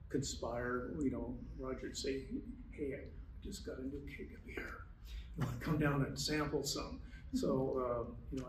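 A man's voice: medium pitch, background noise. Older man telling stories, echoey and distant. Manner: relaxed, chuckling storyteller. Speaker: panelist. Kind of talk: panel discussion. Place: large meeting room, indoors. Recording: distant echoey room sound.

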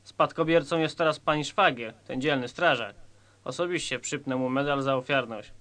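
A man's voice: mid-range voice, normal speed, sharp tone, natural voice. A man acting a stern, smug police commissioner in a satirical radio play, curt and sardonic. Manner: curt, sardonic, self-assured.

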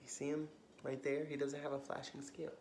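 An American man in his thirties hosting a children's story time, reading aloud expressively with gentle asides to young listeners. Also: medium pitch, monotone, a little fast, slight background noise. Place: living room, on a couch indoors. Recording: roomy, echoey home recording.

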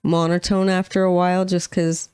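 A woman's voice: on the low side, little pitch movement, moderate pace, darkish tone, smooth, no room sound, studio quality. A woman, chatting casually as co-host of a music reaction channel. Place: small room at home, indoors. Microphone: close boom-arm microphone.

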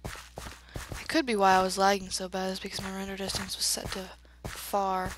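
A woman's voice: medium pitch, monotone, moderate pace, background noise. A woman with a slightly congested voice giving chatty, playful commentary as she hosts a gaming video. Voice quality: slightly congested, stuffy nose. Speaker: gaming channel host. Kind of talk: casual let's play commentary.

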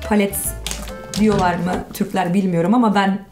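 A young woman chats informally through a makeup tutorial, often putting herself down. Her voice sits at an average pitch in a roomy recording, with faint music underneath. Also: fairly even intonation, moderate pace, darkish tone. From Germany. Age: young adult. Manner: informal, self-deprecating. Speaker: guest makeup video creator. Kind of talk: casual chat and makeup tutorial. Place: small indoor studio set, seated.

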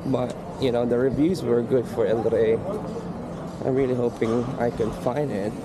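A man's voice: medium pitch, little pitch movement, moderate pace, very noisy. A man walking through an outdoor city market and chatting to his handheld camera, thinking aloud and sounding a little self-conscious. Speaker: travel vlogger. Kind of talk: casual handheld travel vlog. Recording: loud street market, music and crowd. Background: loud music and crowd chatter.

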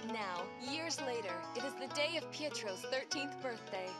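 A woman's voice: on the high side, lively intonation, moderate pace, lightly acted. A woman reading a scripted storybook prologue as narrator of a fairy-tale video game. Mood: gentle storytelling. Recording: plucked-string music loudly behind narration.

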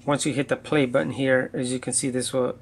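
Casual male gadget reviewer, even pitch. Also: brisk, slight background noise. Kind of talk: casual unscripted product demo.

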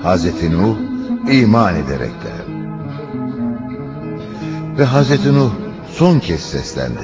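A man's voice: sits low, big pitch swings, a little slow. A man narrating a religious cartoon, relaying a prophet's words in a solemn, declamatory, preaching manner. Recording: muffled cartoon soundtrack with music.

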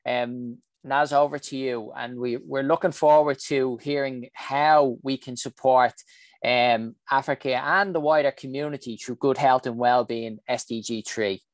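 30s guy hosting a video panel, dry close sound, quick and friendly. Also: mid-range voice, steady tone, dry recording. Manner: brisk, welcoming, with fillers. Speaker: session host. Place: home room indoors. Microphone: video call with earphones.

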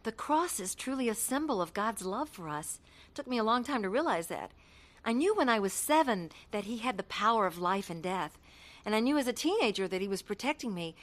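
A woman's voice: a little high, fairly bright tone. An actress playing an earnest young woman telling her life story of faith in a radio drama. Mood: heartfelt. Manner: earnest, heartfelt. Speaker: actress narrating and playing the lead. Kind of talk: radio drama acting with first-person narration.

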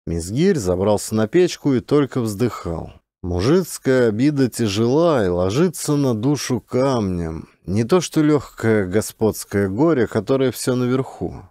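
A man's voice: mid-range voice, sing-song, unhurried, hardly any pauses, warm tone, no room sound, studio quality. A man reading a classic literary story as scripted audiobook narration.

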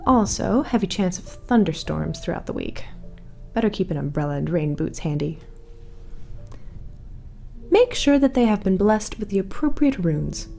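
A woman playing an eerie, gracious small-town radio host, reading horror-comedy news in a measured, ominous deadpan. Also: mid-range voice, creaky. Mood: ominously calm. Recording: music bed under the voice. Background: music.

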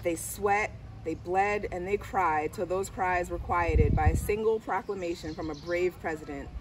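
A woman's voice: lowish voice, normal speed. Middle-aged woman reading aloud, distant outdoor mic. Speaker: school diversity director reading aloud. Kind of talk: picture book read-aloud. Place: outdoors on a garden bench under trees. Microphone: camera a few steps away. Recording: distant camera mic, outdoor background noise.

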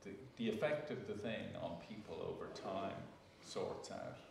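A man's voice: fairly low pitch, moderate pace, vocal fry. A man speaking off the cuff in a panel discussion, reflective, in short phrases. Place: indoor gallery event space. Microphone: distant camera. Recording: echoey room from far off, some noise.

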